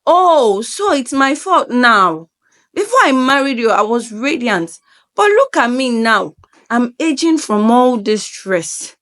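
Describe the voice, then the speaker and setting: Casting: a woman as a desperate young wife in a narrated folktale, slow, trembling and pleading. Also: a little high, big pitch swings, a bit bright, exaggerated voice. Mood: angry. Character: distraught young wife, desperate, fearful, confessing to her mother.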